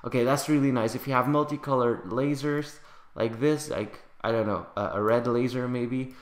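A man casually commenting on a building game update, chatty and excitable, his voice slightly raspy from the early hour. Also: mid-range voice, normal speed, reverberant. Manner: excitable, chatty, laughs often. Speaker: gaming YouTuber.

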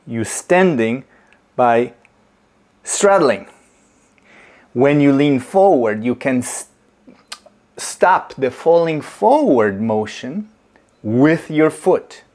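A middle-aged man, teaching slowly and deliberately while he demonstrates in a home kitchen. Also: medium pitch, big pitch swings, darkish tone. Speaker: movement teacher. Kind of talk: instructional demonstration.

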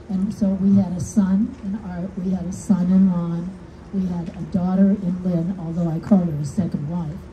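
An older woman with a deep voice giving a heartfelt dedication speech outdoors. She tells warm personal stories with gentle humor. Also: highs cut off, slight background noise. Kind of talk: heartfelt public speech at a podium. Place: outdoors under a canopy, small crowd. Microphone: podium mic heard through speakers, recorded from the audience. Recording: distant speaker through PA with outdoor ambience.

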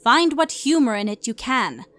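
A woman voicing a stately, blithe immortal pony princess, measured and formal, in a fan fiction audio drama. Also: high-pitched, very animated, a bit bright. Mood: calm, philosophical.

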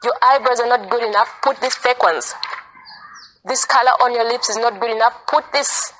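A woman's voice: on the high side, normal speed, fairly bright tone, slight background noise, poor audio. A woman giving an informal, insistent sermon, repeating phrases for emphasis. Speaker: preacher. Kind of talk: informal sermon, earnest exhortation.

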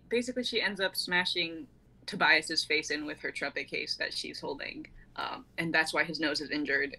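A woman's voice: on the low side, sharp tone, low-quality recording. A woman in her twenties chatting casually with a group on an online livestream. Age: 20s. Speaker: writer and reader on a group stream. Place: indoors at home, video call.

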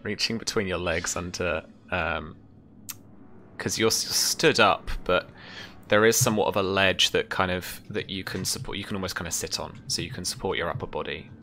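A man in his thirties narrating a tabletop game as game master, descriptive and measured, with trailing pauses. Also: low voice, steady tone, pushed and bright, a little hiss or hum. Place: small indoor room at home.

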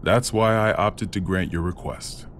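An actor playing a genial, shrewd older head of state in an animated game, plainspoken and authoritative. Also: male voice, sits low, a little slow, a little hiss or hum. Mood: shrewd, probing. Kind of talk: dubbed animated game cutscene acting.

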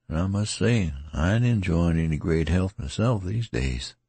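A man reading a weary wounded soldier in an audiobook, with a rustic, rambling drawl and a creaky, husky voice. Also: deep voice, animated, moderate pace, dark tone, no echo, pitched above the performer's own voice.

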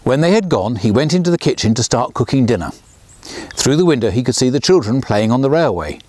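A man's voice: fairly quick, no echo. A man in his sixties, a children's author, reading his own storybook aloud outdoors beside a small railway, lifting his voice for the characters. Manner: lively storyteller, lifts pitch for characters' lines.

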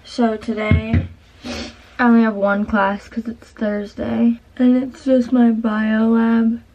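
A woman's voice: on the high side, little pitch movement, takes it slowly, mellow, highs cut off, a little hiss or hum. A young woman with a stuffy nose, chatting casually to camera in her apartment, with lots of fillers. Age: young adult. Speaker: vlogger. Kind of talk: casual vlog chat. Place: small apartment, indoors.

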